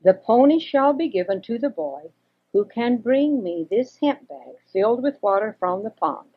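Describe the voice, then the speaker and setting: A woman's voice: on the high side, expressive, normal speed, slightly rough. An older woman voicing a firm father of three boys in a storybook, on a homemade cassette. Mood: scolding, firm. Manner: scolding, firm. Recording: dull homemade 1980s cassette recording.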